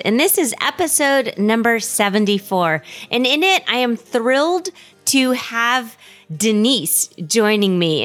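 A woman's voice: a little high, a bit bright. An American woman hosting a podcast in a conversational, effusive and self-deprecating way. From United States. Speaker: podcast host.

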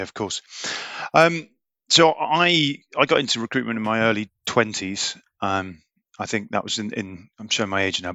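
Man, 40s, podcast guest, bright forceful tone, halting and full of ums. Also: fairly low pitch, dry recording. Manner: hesitant, many repeated words and ums. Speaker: guest, recruitment business leader. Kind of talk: podcast interview.

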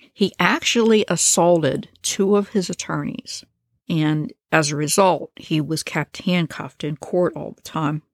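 Low-voiced wry woman telling true crime. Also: no echo, clean studio sound. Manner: wry, conversational, offhand asides. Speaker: true crime podcast host. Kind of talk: casual storytelling narration.

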